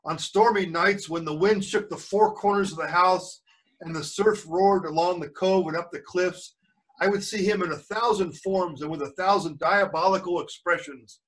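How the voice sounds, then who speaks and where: High-pitched older man reading aloud, distant mic. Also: fairly bright tone. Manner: pauses to quiz the listeners. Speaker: grandfather reading to young children. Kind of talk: storybook read-aloud with chatty asides.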